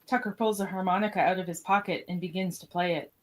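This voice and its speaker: A woman's voice: on the low side, fairly even intonation, fast talker, heavy background noise. A woman reading scripted scene directions as narrator of a podcast radio play.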